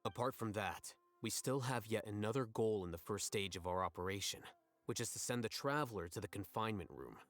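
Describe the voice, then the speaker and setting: A man playing a calm, calculating scholar in a video game, laying out plans in measured, articulate phrases. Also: on the low side. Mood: composed.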